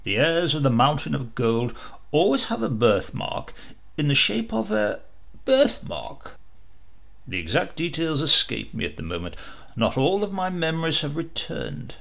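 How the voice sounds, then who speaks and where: A man's voice: on the high side, sing-song, creaky, a bit bright, exaggerated voice. A man reading a comic storybook and voicing a boastful, scheming male talking parrot who bluffs his way along. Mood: blustering. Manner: blustering, quick to bluff.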